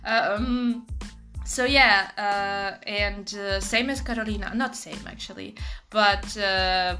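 A woman's voice: mid-range voice, steady tone, slow pace, pushed and bright, faint music behind. A young woman, co-hosting a casual podcast chat in a living room, laughing often and filling her talk with fillers. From Serbia. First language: Serbian. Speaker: podcast co-host.

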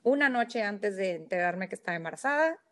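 A woman's voice: mid-range voice, animated, fairly quick. Candid woman from northern Mexico. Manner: candid, earnest, with fillers and restarts. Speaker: podcast guest. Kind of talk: personal storytelling in interview.

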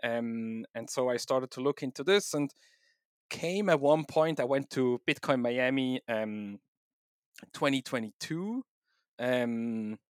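A man's voice: mid-range voice, takes it slowly, no room sound, clean studio sound. A man with a Swiss German accent, a podcast guest talking casually and at length, with many fillers. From Switzerland. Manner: relaxed, rambling with many fillers. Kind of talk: casual interview talk.

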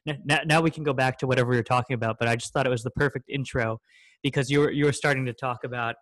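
A man's voice: medium pitch, fairly even intonation, very fast, fairly bright tone, no echo. A man in his thirties hosting a podcast, talking in a loose, joking way with many fillers. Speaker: podcast host. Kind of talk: casual podcast chat.

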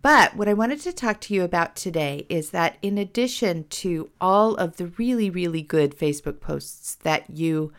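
A middle-aged woman hosting a podcast, chatting animatedly and a little self-deprecatingly, her voice hoarse from a cold. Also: low voice. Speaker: podcast host. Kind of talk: casual podcast chat.

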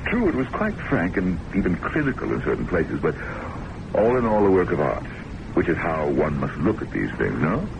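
An actor in an old-time radio drama playing a suave, self-important, middle-aged academic boss, wordy and patronizing. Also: male voice, sits low, wildly swooping pitch, moderate pace, creaky, lo-fi sound, heavily acted. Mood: smug, musing. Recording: noisy old radio broadcast recording.

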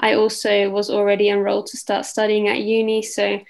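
Creaky-voiced Dutch-born woman in her twenties. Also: medium pitch, brisk. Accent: Australian-English mix. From Netherlands. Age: 20s. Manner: relaxed, many ums and soft laughs. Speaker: guest model. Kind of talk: casual interview chat.

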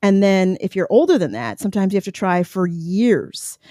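A woman's voice: medium pitch, very animated, normal speed, warm tone, no room sound, clean studio sound. A woman in her forties telling her own adoption story in a candid, reflective way, with many fillers and restarts. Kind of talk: personal storytelling monologue.

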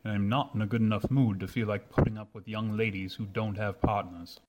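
A man playing a proud, reserved young gentleman in a radio drama, speaking formally. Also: fairly low pitch, fairly even intonation, fairly quick, dull audio, lightly acted. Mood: haughty. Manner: formal, restrained. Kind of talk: old-fashioned radio drama acting.

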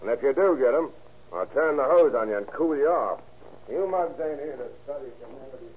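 An actor playing a gruff, bullying jail guard who barks orders and threats in a 1930s radio crime drama. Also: male voice, mid-range voice, expressive, fairly quick, lightly acted. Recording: muffled, noisy old radio recording.

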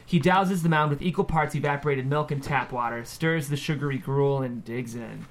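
A man's voice: medium pitch, moderate pace. A man reading out the stage directions as narrator at a screenplay table read.

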